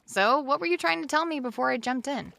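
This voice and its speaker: An actress playing a playful, daring young woman in an audio drama, with a teasing, excitable way of talking. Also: high-pitched, brisk, vocal fry, no room sound, heavily acted.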